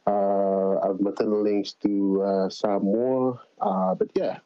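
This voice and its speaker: Man, interview guest, deep voice, halting speech with fillers, dry sound. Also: smooth, no echo, highs cut off. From Fiji. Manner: relaxed, halting with many fillers. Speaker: interview guest, climate organizer. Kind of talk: casual remote interview.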